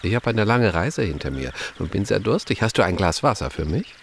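A man's voice: deep voice, sing-song, a little fast, gravelly, slight background noise, exaggerated voice. A man playing an easygoing but easily offended wandering monk, expressively, in a children's radio play. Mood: easygoing, thirsty.

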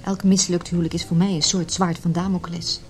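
A woman's voice: on the low side, flat delivery, brisk, soft background music, close to an everyday voice. An actress playing an earnest, frank married woman in a radio play. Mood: reproachful, earnest. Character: sincere, earnest married woman named José.

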